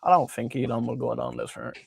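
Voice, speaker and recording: A man's voice: medium pitch, little pitch movement, fairly quick, vocal fry, breathy edge, dry recording, clean studio sound. A man hosting a casual livestream, reading out viewer comments and answering in an informal, matey way. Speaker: livestream host.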